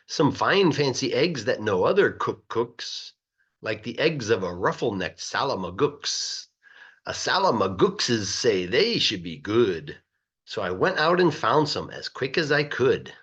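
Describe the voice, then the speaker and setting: A man reading a children's rhyming story aloud in a playful, rhythmic, expressive way, like a teacher. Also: medium pitch, slightly rough, muffled recording. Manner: animated, playful, rhythmic verse delivery.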